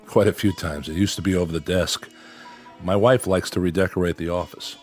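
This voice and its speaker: An actor in a radio drama playing a calm, skeptical male psychiatrist who probes his patient in a measured, dry, clinical manner. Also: low voice, faint music behind, natural voice. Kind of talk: audio drama acting.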